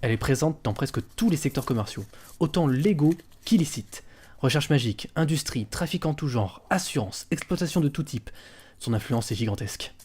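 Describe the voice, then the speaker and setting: A man's voice: mid-range voice, lively intonation, a little fast, a little mellow, breathy edge. A man playing a cautious, skeptical adventurer and researcher in a fantasy audio drama, explanatory in manner. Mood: explaining. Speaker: voice actor in an amateur audio fiction.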